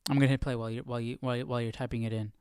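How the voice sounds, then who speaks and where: A man's voice: medium pitch, little pitch movement, very fast, darkish tone, clear, resonant tone, dry recording, studio quality. A man hosting a live stream with casual, informal commentary, musing aloud and repeating phrases as he thinks. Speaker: host of a live Bible study stream.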